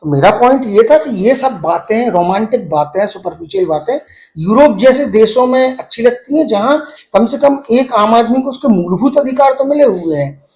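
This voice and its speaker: A middle-aged man giving an interview, speaking haltingly but emphatically and mixing in English words. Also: extremely high pitch, animated, darkish tone, low-quality recording. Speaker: medical professor giving an interview. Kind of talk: spoken interview, explaining his grievance.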